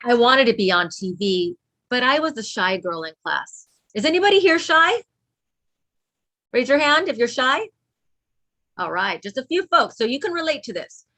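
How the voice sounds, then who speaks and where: Woman, high voice, read-along facilitator, encouraging, reads a children's story aloud, invites repetition. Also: big pitch swings, normal speed, sharp tone. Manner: encouraging, asks children to repeat. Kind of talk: reading a children's book aloud to pupils.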